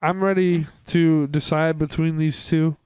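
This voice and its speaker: A young man talks casually as a podcast co-host, giving candid opinions in informal, sweary language. His voice sits a little high and is very clear and smooth. The sound is dry, with no room on it. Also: fairly even intonation, a little slow, a little mellow, no room sound. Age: young adult. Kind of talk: casual review chat.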